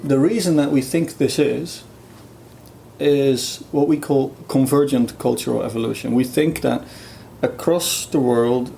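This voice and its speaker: A Dutch-native man explains his research in a relaxed interview. His voice is gravelly and mellow, and he thinks aloud with frequent fillers and restarts. A faint hiss sits behind him. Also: medium pitch, measured pace. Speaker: guest researcher. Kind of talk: conversational explanation.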